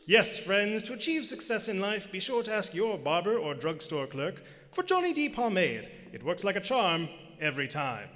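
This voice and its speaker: A man playing a hearty, theatrical old-time radio announcer and pitchman, polished, showy and emphatic. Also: big pitch swings, moderate pace, hardly any pauses, fairly bright tone. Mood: hearty, salesmanlike. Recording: live theater, long hall reverb.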